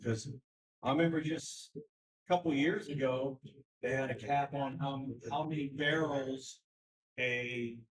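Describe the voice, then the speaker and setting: A man runs a convention meeting in loose, chatty words, often restarting his sentences. His voice sits mid-range and comes from some way off the microphone in a meeting room. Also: medium pitch. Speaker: party chair running a convention. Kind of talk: informal meeting chairing. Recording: voice from far off the mic.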